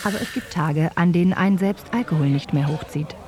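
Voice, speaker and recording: A woman's voice: lowish voice, brisk, noisy background. A woman narrating a scripted radio play in a dry, mock-formal manner.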